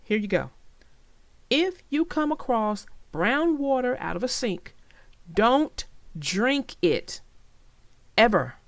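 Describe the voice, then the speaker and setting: A woman reading a children's story aloud with expressive, dramatic phrasing, speaking directly to listeners. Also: fairly high pitch, takes it slowly, pauses often, no room sound.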